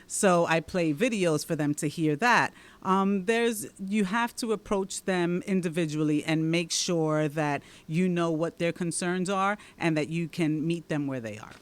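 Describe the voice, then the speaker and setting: A woman's voice: lowish voice, a little slow, few pauses. A woman from the Dominican Republic with a Dominican accent, a guest answering interview questions in an explanatory way, often checking in with "right?". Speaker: guest, township committeewoman and teacher.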